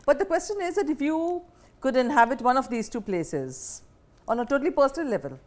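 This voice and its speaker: A woman's voice: high voice, big pitch swings, fairly quick. A woman with an Indian accent hosting an interview, asking questions and commenting in a conversational way. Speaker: interviewer, host.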